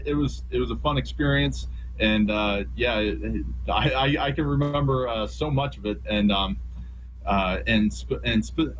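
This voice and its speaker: An American man chatting casually as an interview guest, reminiscing in a rambling, halting way with repeated words. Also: fairly low pitch, slight background noise, poor audio. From St. Louis region, USA. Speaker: interview guest, radio professional.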